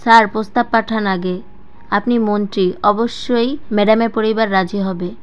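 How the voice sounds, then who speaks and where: A woman's voice: medium pitch, fairly even intonation, normal speed, clean tone, faint music behind, close to an everyday voice. A woman voicing a nervous, respectful male aide in an audio story. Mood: cautious, respectful.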